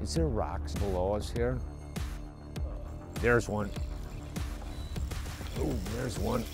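A man's voice: fairly low pitch, takes it slowly, music in the background. A middle-aged man hosting a fishing show, giving casual, enthusiastic commentary from a small boat on an open lake. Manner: enthusiastic, exclaiming often.

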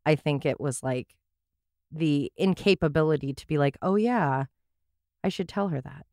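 Wry woman podcast host, low dry voice. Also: moderate pace, mellow, no room sound. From Portland area, USA. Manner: wry, sarcastic asides. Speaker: podcast host telling a true crime case. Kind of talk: scripted true crime narration with asides.

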